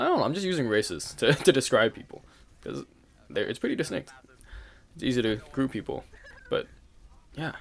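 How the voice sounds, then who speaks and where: A young man hosting his channel, telling a personal story in a candid, rambling way, with fillers, swearing and occasional laughs. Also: lowish voice. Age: young adult. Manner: laughs now and then while talking. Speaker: channel host. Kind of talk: casual storytelling rant.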